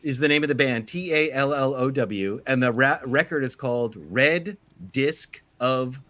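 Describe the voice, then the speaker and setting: A man who is a guest musician, talking casually and haltingly with many pauses. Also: mid-range voice, a bit bright, no echo. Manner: hesitant, halting with fillers. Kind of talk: casual chat.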